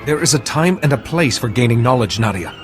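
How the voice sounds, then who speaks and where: An actor playing a resolute, composed Jedi leader in a video game, measured and authoritative. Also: male voice, mid-range voice, sing-song, soft background music, natural voice. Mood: stern. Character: resolute, composed male Jedi hero leading a mission. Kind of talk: scripted video game acting.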